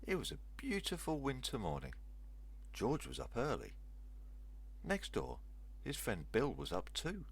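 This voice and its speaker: A man's voice: mid-range voice, wildly swooping pitch, unhurried, creaky, pauses often, breathy edge. An older man narrating a children's story aloud as a storyteller, in short simple sentences.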